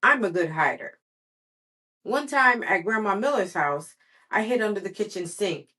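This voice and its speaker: A woman's voice: medium pitch, expressive, normal speed, sharp tone. Woman reading as blunt, grouchy little kindergarten girl. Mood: proud, confiding. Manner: childlike grammar, blunt and emphatic. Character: grouchy, outspoken little kindergarten girl narrating her school day.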